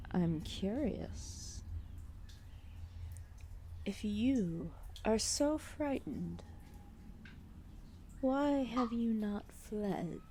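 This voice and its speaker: A giant serpent deity, played by a woman, speaks with drowsy, regal amusement in an ASMR roleplay. The voice is dark and mellow with a creaky edge. The delivery is formal, condescending and drawn out, over faint background noise. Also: on the low side, heavily acted. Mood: drowsy curiosity. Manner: slow, languid, formal, condescending speech. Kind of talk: ASMR roleplay audio drama.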